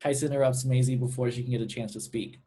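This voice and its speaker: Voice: medium pitch, fairly even intonation, fast, mellow, slightly rough. A narrator reading out stage directions at a script table read.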